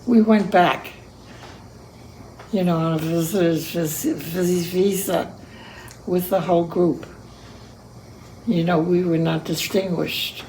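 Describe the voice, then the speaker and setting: Very old woman, slow and gravelly. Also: on the low side, steady tone, darkish tone, a little hiss or hum, rough recording. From Cuba. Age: 100. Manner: slow, reminiscing, hard of hearing. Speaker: interviewee. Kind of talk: oral history interview.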